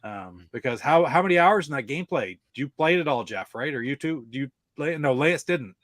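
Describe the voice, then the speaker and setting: A man's voice: a little high, animated, brisk, fairly bright tone, no room sound. A middle-aged man in a casual podcast panel chat about games and movies, talking in a rambling way.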